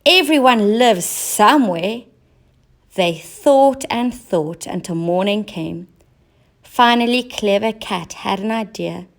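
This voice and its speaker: A woman's voice: medium pitch, takes it slowly, gravelly. A woman narrating a children's storybook as a teacher reading aloud.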